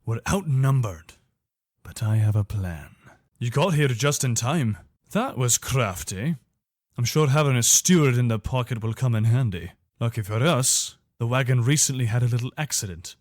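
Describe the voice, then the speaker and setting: A man's voice: medium pitch, expressive, normal speed, darkish tone, dry recording, natural voice. Guy voicing a wary, loyal imperial soldier for a game, earnest military type, very dry recording. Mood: focused. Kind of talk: video game character voice lines.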